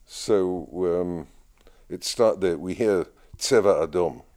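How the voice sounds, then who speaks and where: A man who leads prayers at his community, answering interview questions in a lively, storytelling way with frequent laughs. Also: low voice, takes it slowly.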